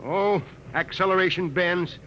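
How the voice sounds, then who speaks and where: A man's voice: high voice, a little slow, a bit bright, a little hiss or hum. An actor in a 1950s radio drama playing a loyal, older male space radio veteran with a folksy, wheedling manner. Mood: pleading. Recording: dull old radio broadcast recording.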